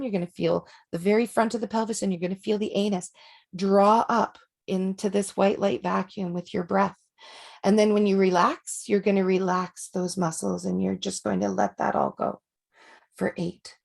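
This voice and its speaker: A woman energy healer leading an online group through breathing and meditation, relaxed and chatty, with frequent fillers and restarts. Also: medium pitch, moderate pace, creaky. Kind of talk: guided breathing and meditation instruction.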